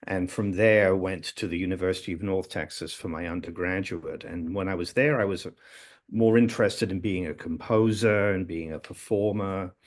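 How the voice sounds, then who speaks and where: An older man from England, a music theory professor recalling his career on a video call from his office, with relaxed storytelling and joking asides. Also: deep voice. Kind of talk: casual interview. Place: office, indoors. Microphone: video call, low-angle webcam.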